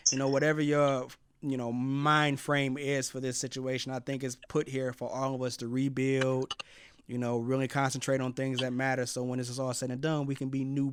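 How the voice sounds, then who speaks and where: A man's voice: medium pitch, clear, resonant tone, no room sound. A man hosting a casual radio interview, speaking in an informal, frank and slangy way. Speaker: radio host and DJ interviewing an artist.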